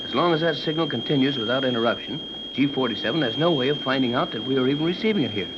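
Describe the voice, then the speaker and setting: Fast-talking male captain played by an actor, steady, practical, plain-spoken, old radio serial, noisy muffled 1930s recording. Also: mid-range voice, lightly acted. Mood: steady, brisk. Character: steady, practical ship's captain, man of action.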